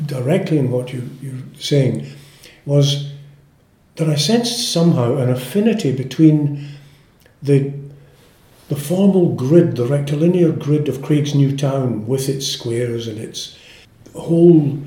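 Elderly Scottish man, measured, in a roomy space. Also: mid-range voice, animated, dark tone. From Scotland. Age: 80s. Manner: measured, formal, hesitant phrasing with careful pauses. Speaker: poet, interview guest. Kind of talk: literary interview with poetry reading. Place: indoor room with some echo. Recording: roomy, echoing indoor sound.

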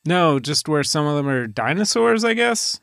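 A man's voice: on the high side, sing-song, moderate pace, gravelly, a bit bright, dry recording, clean studio sound. A man co-hosting a podcast, chatting casually and joking dryly. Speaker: podcast co-host. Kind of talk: casual banter.

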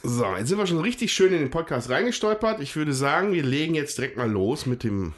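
Stuffy-nosed man, lively rambling co-host. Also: a little high, brisk. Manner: rambling, self-correcting, chuckling. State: stuffy nose from a cold. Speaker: podcast co-host. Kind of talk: casual chat about board games.

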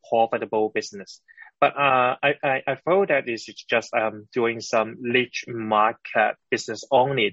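A man from Hong Kong with a Hong Kong accent, answering interview questions as a startup founder in halting English with frequent pauses. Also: mid-range voice, fairly bright tone, no room sound, highs cut off.

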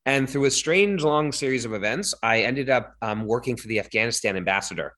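A man in his thirties, a company founder, recounting his career in a relaxed interview with many fillers. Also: medium pitch, fairly quick. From born in Hong Kong, raised in London. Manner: chatty, with many fillers and self-corrections. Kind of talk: interview, personal storytelling.

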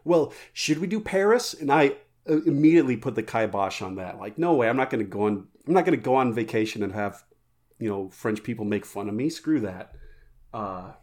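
A man's voice: mid-range voice, animated, a little fast, darkish tone. A man co-hosting a podcast, telling jokey, rambling stories in casual banter. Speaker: podcast co-host.

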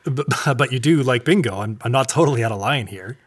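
Middle-aged husband, affectionate and self-deprecating joker, very lively pitch, naturalistic audio drama. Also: male voice, a little fast, breathy edge. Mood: teasing, apologetic. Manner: playful, chuckling, eager.

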